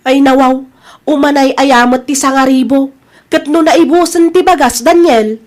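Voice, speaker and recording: Actress as high-voiced, fed-up young Ilocano wife. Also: female voice, fairly even intonation, moderate pace, darkish tone, lightly acted. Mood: exasperated. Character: fed-up young wife and mother, defiant, resolved to leave. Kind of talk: Ilocano audio drama acting.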